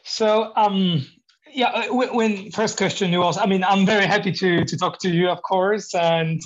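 A man from Poland with a Polish accent, speaking English as a second language, halting and searching for words, laughing now and then. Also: high-pitched, fairly even intonation, dull audio, a little hiss or hum. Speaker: guest, painting contractor. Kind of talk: interview conversation.

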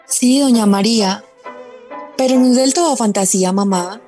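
A woman playing a dreamy, spirited young Colombian daughter in a radio drama, eager and expressive. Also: a little high, normal speed, lightly acted. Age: young adult. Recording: music behind the voice.

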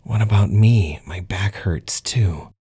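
A man's voice: fairly low pitch, takes it slowly, dark tone, slightly rough, voice pushed up, heavily acted. A man voicing an injured, stubborn female space pilot in a science fiction story, sounding groggy and strained. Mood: alarmed, protesting.

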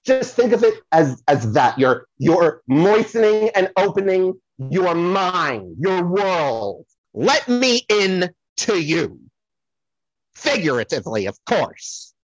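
A flamboyant, easily distracted psychic from a comedy audio play. The voice is husky and swings widely in pitch, rambling and gushing with theatrically stretched words. The recording is dry and a little muffled. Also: very animated, dry recording, heavily acted. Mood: theatrical, coaxing. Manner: rambling, theatrical, repeats phrases, drawn-out dramatic words. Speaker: podcast guest playing a psychic. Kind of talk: improvised comic audio play, podcast spoof.

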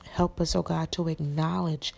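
A woman with a raspy voice, preaching an encouraging sermon and praying with an earnest, repetitive cadence. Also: sits low, little pitch movement, moderate pace. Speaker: podcast minister. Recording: music playing behind the voice.

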